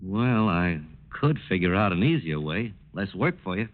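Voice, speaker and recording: Folksy agreeable working man, puzzled, lowish voice, hesitant delivery, 1940s radio drama, thin tinny recording. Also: very animated, moderate pace. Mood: agreeable, surprised. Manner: folksy, plain-spoken, hesitant. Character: folksy, agreeable, puzzled male plant safety engineer. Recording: tinny narrow-band old radio recording.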